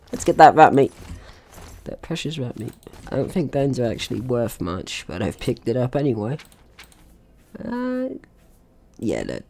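A man's voice: medium pitch, gravelly, a little hiss or hum. A man giving casual gameplay commentary, chatting through his own actions in short remarks. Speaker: gaming video host.

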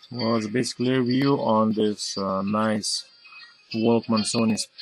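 A man casually demonstrating a gadget as a reviewer, in halting, plain-spoken narration at a desk. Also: lowish voice. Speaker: reviewer and channel host. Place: at a cluttered desk indoors. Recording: clearly noisy, clicks and typing sounds.

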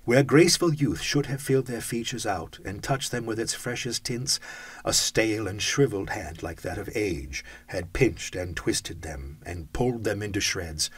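A man's voice: on the low side, animated, normal speed, slightly rough, dry recording. Man narrating with dramatic phrasing. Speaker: audiobook reader. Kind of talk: expressive storybook narration.

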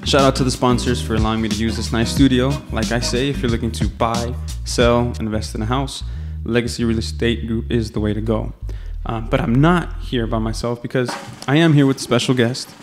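A male podcast host leads a relaxed interview, friendly and slipping Spanish phrases into his English. His voice sits at a middle pitch. Faint music plays softly behind him. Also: fairly quick, hardly any pauses, echoey room. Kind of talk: casual interview chat. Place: office room used as a podcast studio. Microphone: studio mic on a stand, headphones.

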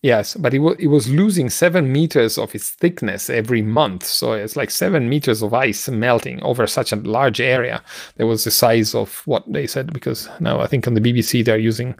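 A middle-aged man with a gravelly voice, co-hosting a casual science news discussion in a halting way, searching for words. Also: medium pitch, no echo. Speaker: podcast co-host. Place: home room, video call. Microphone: headset on a video call.

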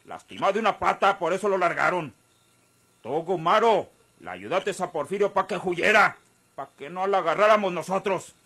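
Forceful, high-pitched old Mexican ranch boss. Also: male voice, vocal fry, slightly rough, exaggerated voice. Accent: rural Mexican. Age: older. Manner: forceful, accusing, rustic speech. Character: stern, accusing old ranch boss, vengeful and commanding. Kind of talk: Mexican radionovela acting.

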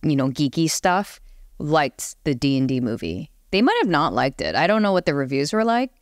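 A woman's voice: low-pitched, sing-song, normal speed, dry recording, studio quality. A woman talking informally and emphatically as a panellist in a casual podcast discussion.

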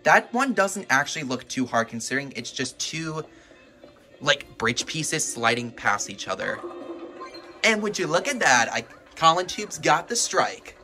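A man with a bright, forceful voice gives casual commentary over a video game. He is excitable and reacts aloud as he plays. He is recorded at home, with faint game music behind him. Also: fairly high pitch, unhurried. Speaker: gaming video host. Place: indoors at home, filming a TV.

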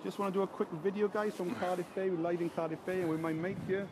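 A man's voice: sits high, steady tone, fast talker, a crowd in the background. A middle-aged man with a strong Welsh Valleys accent, chatting on a live stream and greeting viewers by name. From Wales. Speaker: internet marketing coach. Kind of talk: casual live stream chat. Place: outdoors by a bay. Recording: loud outdoor wind and traffic.

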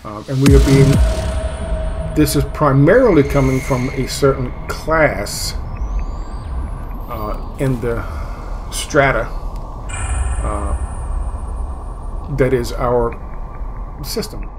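A man explaining his point in conversation, in halting phrases broken by drawn-out fillers. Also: mid-range voice. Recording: clearly noisy background.

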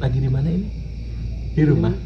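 A man's voice: mid-range voice, fast. A man chatting casually in a vlog, coaxing and playful as he talks to a toddler on a house porch at night. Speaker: motovlogger. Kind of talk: casual handheld vlog chat. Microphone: action camera. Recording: dull camera audio with loud background noise.

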